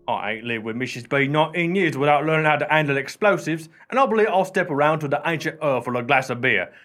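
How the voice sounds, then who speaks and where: A man acts a cheeky working-class husband in a radio play. He talks in a slightly high male voice, wry and breezy, with folksy turns of phrase and an irreverent streak. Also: animated, fast talker, a bit bright, faint music behind.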